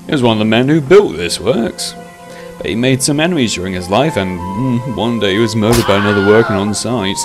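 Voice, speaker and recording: A man's voice: fairly low pitch, animated, fairly quick, music in the background, natural voice. A man voicing a cheerful, offhand workman in a children's story, casually dismissive of danger. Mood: amused, offhand. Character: cheerful, breezy workman telling ghost gossip.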